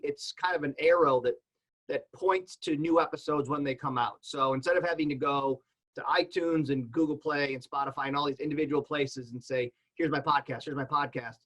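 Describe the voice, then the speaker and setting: A man's voice: fairly high pitch, fairly bright tone, poor audio. A man giving casual, conversational explanations as a podcaster on a panel, illustrating points with examples.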